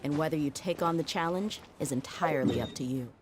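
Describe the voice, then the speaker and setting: A woman's voice: low voice, a little fast, noisy background, lightly acted. A woman voicing a tough, self-reliant desert mercenary in a video game, blunt and self-assured. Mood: cool, confident.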